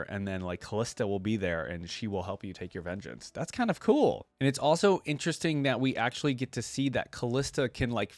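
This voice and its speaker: A man's voice: medium pitch, no room sound. A man hosting a reaction video with informal, curious, casual commentary. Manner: informal, curious, easily intrigued.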